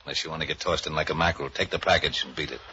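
An actor playing a suspicious, bullying ship's captain in a 1940s radio crime drama, gruff, curt and commanding. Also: male voice, a bass voice, fairly even intonation, very fast, pushed and bright, heavily acted. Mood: curt, contemptuous. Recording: dull, noisy old radio recording.

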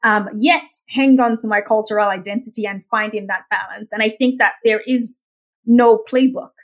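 A woman's voice: on the high side, fairly even intonation, moderate pace. Nigerian woman, 30s, guest on an interview, earnest, keeps saying right?. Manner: earnest, often adds "right?". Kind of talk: reflective interview talk.

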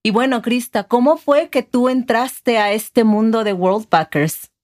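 Una mujer mexicana con acento mexicano, co-presentadora de un podcast, que guía la conversación con preguntas amables. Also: female voice, on the high side, measured pace, darkish tone. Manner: friendly, guiding the interview with questions. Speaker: podcast co-host. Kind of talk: casual chat.

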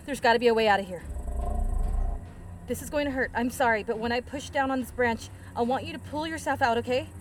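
A woman's voice: a little high, brisk, slight background noise, natural voice. An actress playing a determined, protective woman hiker in an audio drama, urgent, foul-mouthed and reassuring under pressure. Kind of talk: full-cast audio drama acting.

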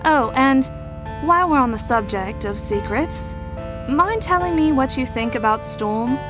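A woman playing a shrewd, teasing adult mentor to troubled teens in an audio drama, with a measured, knowing, probing delivery. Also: high-pitched, lively intonation, over music. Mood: shrewd, needling.

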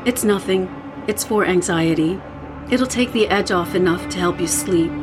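A woman's voice: fairly low pitch, normal speed, natural voice. A woman playing an anxious young friend in a horror audio drama, hesitant and consoling, over scary music. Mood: soothing, subdued. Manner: hesitant, soothing.

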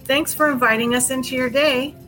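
A woman co-hosting a podcast in casual chat, talking hesitantly with many pauses and ums. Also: high voice, steady tone, soft background music. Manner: hesitant, many ums, soft-spoken openness. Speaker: podcast co-host.

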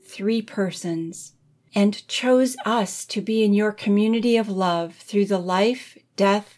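Woman slowly leading prayer. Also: mid-range voice. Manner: slow, gentle, deliberate prayer leading. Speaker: music director leading prayer. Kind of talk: led liturgical prayer.

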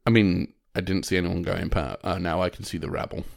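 A man's voice: deep voice, fairly even intonation, a little fast, gravelly. A man co-hosting a podcast in casual chat, playful and teasing, at one point singing a silly jingle. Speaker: podcast co-host.

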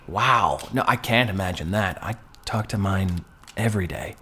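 An actor playing a frank, wry but shaken married man in a stage play, speaking in a halting, measured way over a noisy theater recording. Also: low-pitched, bright, forceful tone. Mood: curious, gentle.

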